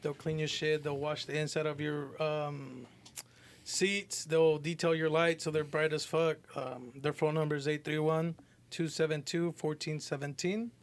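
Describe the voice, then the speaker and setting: A man's voice: fairly high pitch, level tone, measured pace. A man co-hosting a podcast, talking in casual slang, as in chat or sponsor plugs. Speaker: podcast co-host.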